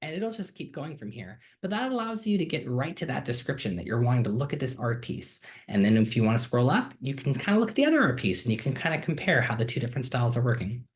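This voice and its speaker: A man's voice: medium pitch, sing-song, fast, hardly any pauses. Man, student, casual screen-share demo, enthusiastic, filler-heavy, computer mic. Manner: friendly and enthusiastic, with frequent ums and uhs. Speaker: student giving a software demo.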